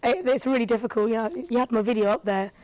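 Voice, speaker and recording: A woman, a rapper and radio presenter, talking casually as a guest with a slightly raspy, tired voice and lots of fillers. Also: a little high, fast talker, darkish tone, no room sound. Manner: chatty, thoughtful, with frequent fillers. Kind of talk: casual podcast conversation.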